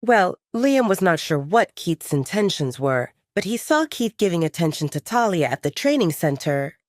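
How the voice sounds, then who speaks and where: A woman narrates dramatic fiction in a slightly low voice. Her delivery is expressive, with a lively melody that moves freely. The sound is close and dry. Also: normal speed, no echo. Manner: expressive storytelling. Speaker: audiobook narrator. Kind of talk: scripted dramatic fiction narration, third person.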